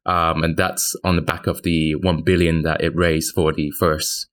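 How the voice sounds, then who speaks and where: A man speaking as a financial journalist in a conversational industry discussion, halting and matter-of-fact. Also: very deep voice, level tone, fairly bright tone. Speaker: financial journalist co-hosting a podcast.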